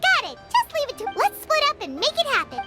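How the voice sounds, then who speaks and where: A woman's voice: very high-pitched, wildly swooping pitch, quick pace, full character voice. A woman voicing a tiny, childlike fairy companion in a video game, perky, chatty and eager to help. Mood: cheerful. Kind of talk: video game character acting. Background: music.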